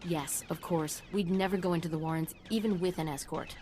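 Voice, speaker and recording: A woman's voice: lowish voice, steady tone, brisk, warm tone, a little hiss or hum, natural voice. A woman playing an eager, nerdy scientist in a video game, precise and absorbed. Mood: eager, intent. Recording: game music underneath.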